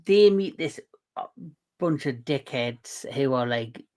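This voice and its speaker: A man's voice: medium pitch, lively intonation, slow, darkish tone. A man hosting a casual movie podcast, rambling with lots of fillers and restarts. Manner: rambling, hesitant with many fillers. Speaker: podcast host.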